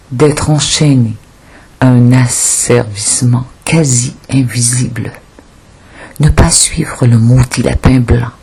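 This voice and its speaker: Slow, very husky woman reciting poetry. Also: rumbling low, warm tone. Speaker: volunteer audiobook reader.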